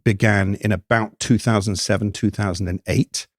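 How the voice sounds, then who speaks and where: Soft-spoken older Englishman, dry close sound. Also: fairly low pitch, steady tone, dry recording. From United Kingdom. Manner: thoughtful, measured, many ums and restarts. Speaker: guest. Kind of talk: podcast interview.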